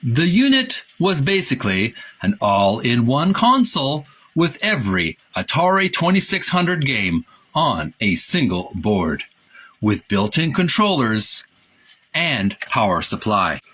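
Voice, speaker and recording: A man reading aloud and describing pictures as a presenter, with an informal, at times halting delivery. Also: medium pitch, sing-song, fairly bright tone, slightly rough.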